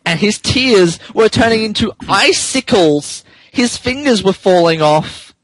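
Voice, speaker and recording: A man's voice: high-pitched, animated, measured pace, dry recording, low-quality recording. A man who co-hosts a podcast, giving a giggly, theatrical comedic reading of fan fiction and stumbling over words. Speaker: podcast co-host.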